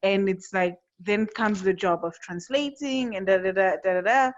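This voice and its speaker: Woman host from angola, mid pitch, earnest, kinda muffled call audio. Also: normal speed. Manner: earnest, searching, with frequent restarts. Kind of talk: conversational interview. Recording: dull lo-fi sound.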